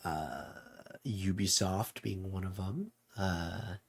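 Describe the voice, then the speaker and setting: A man chatting casually and reflectively as a streamer, in a halting way with drawn-out pauses. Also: deep voice, vocal fry, a little hiss or hum. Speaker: streamer host.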